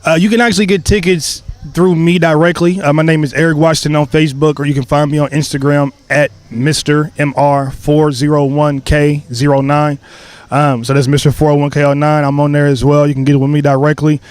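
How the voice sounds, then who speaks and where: A man talking casually in an interview, relaxed with many fillers and a cleared throat now and then. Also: on the high side, a little fast. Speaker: creator of a stage play, interview guest.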